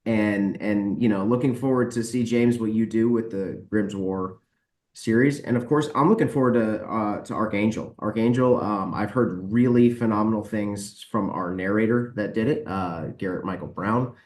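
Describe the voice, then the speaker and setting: Male podcast guest, lowish voice, informal and rambling with some emphasis, audibly roomy recording. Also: normal speed, darkish tone. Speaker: podcast guest, publisher and author. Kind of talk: casual, conversational interview answers.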